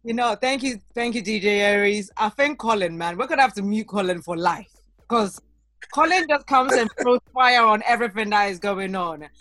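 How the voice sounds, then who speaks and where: A woman's voice: mid-range voice, normal speed, fairly bright tone, no echo. A woman hosting a podcast in casual chat, blunt and teasing with her guests and co-hosts. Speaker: podcast host.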